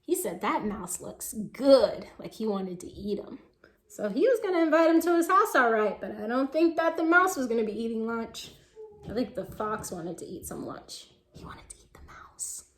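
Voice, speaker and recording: A woman reading to young children and discussing the book, expressive and asking questions directly to the listeners. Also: on the high side, normal speed, roomy sound. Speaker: children's story reader and teacher. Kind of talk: animated read-aloud and discussion for young children.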